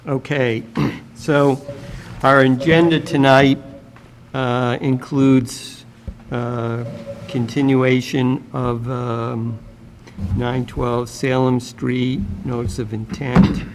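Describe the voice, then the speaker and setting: A man chairing a town commission meeting, speaking slowly with many pauses and fillers. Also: medium pitch, heavy background noise. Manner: slow, hesitant with many fillers. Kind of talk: public meeting.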